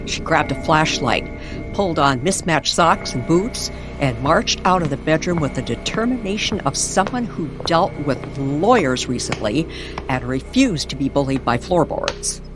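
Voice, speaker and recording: A woman narrating a mysterious story in old-time radio style, deliberate and suspenseful, with dramatic pauses. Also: sits low, expressive. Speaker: co-narrator of an audio story series. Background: music under the voice.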